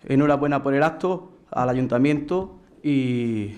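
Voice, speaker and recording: Man, hesitant, formal thanks, medium pitch. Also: unhurried, a little mellow. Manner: hesitant, many fillers. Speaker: municipal councillor. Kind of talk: brief formal thanks at a public event.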